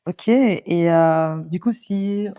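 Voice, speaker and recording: Low-pitched, mellow woman interviewer. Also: very slow, clean tone. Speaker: podcast host, interviewer. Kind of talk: casual conversational interviewing.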